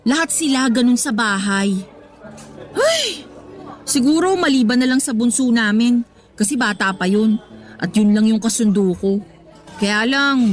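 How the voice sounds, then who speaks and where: A woman's voice: on the high side, natural voice. An actress with a Filipino accent playing a dramatic, exasperated young breadwinner girlfriend who vents emphatically in a radio drama. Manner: dramatic, emphatic, slangy venting. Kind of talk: Tagalog radio drama acting.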